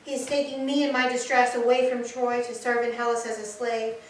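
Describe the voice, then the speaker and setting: A woman's voice: on the high side, little pitch movement, a little fast, lightly acted. A woman playing an anguished captive Trojan woman in a staged tragedy reading, declaiming a lament. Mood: bitter. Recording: very echoey hall, distant mic, some noise.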